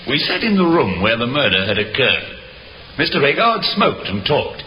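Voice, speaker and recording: An actor playing an observant, composed police inspector, formal and deliberate, in a 1950s radio drama with reverberant, noisy sound. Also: male voice, mid-range voice, very animated, highs cut off, close to an everyday voice.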